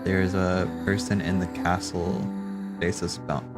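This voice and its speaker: A man's voice: low voice, level tone, takes it slowly. A man hosting a casual watch party, rambling and thinking aloud in slangy speech as he comments. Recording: game music playing behind. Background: video game cutscene music.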